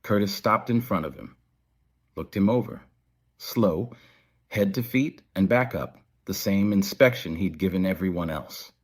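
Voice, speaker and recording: Low-voiced male story narrator. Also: unhurried. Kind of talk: scripted audio story narration.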